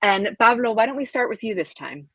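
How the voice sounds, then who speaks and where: A woman author moderating a panel, introducing speakers and asking questions in a clear, orderly way. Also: on the high side, expressive, fairly quick, dry recording. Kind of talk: hosting a panel discussion.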